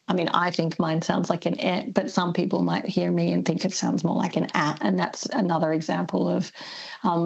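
Creaky-voiced Australian woman explaining things. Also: fairly low pitch, a little mellow, dull audio. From Melbourne, Australia. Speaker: linguistics expert guest. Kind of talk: explanatory radio discussion.

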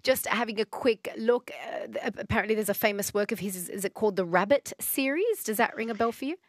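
A woman's voice: medium pitch, fast talker, no room sound. Chatty woman radio host. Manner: chatty, upbeat, reads out listener texts. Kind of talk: casual radio chat. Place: radio studio. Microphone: studio microphone.

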